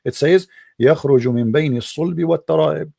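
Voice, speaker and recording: Sarcastic gravelly man, native Arabic speaker. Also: on the high side, rapid-fire, mellow. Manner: sarcastic, mocking, keeps saying "my friend". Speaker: debate host. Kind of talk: heated call-in debate.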